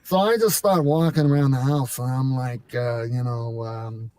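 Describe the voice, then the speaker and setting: A man's voice: mid-range voice, normal speed, warm tone, distant mic. A man chatting casually as an interview guest, rambling and breaking off mid-sentence as he explains things.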